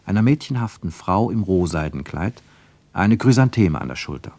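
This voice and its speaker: A man narrating a radio travel drama in a measured storytelling manner. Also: low-pitched, animated, dark tone, no echo. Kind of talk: scripted radio play narration.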